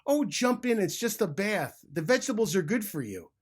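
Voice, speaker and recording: A man voicing a sly, scheming male fox in a high, excitable voice in a storybook reading. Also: moderate pace, breathy edge, heavily acted. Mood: coaxing.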